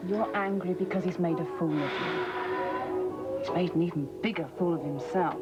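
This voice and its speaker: A woman's voice: on the low side, expressive, moderate pace, natural voice. A woman playing an earnest, probing British reporter. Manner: earnest, gently questioning. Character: earnest young female reporter, idealistic and probing. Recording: dull TV audio under loud music.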